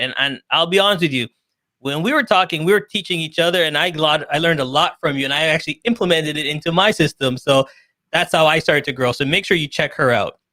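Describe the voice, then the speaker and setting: Energetic man hosting, dry close sound. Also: a little high, no echo. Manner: hype-style, emphatic, loose grammar. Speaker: show host. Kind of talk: enthusiastic hosting, casual chat.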